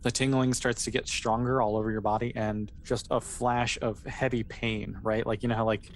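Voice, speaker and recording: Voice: mid-range voice, little pitch movement, moderate pace, slight background noise. A game master improvising narration for a tabletop role-playing game in an informal, conversational way.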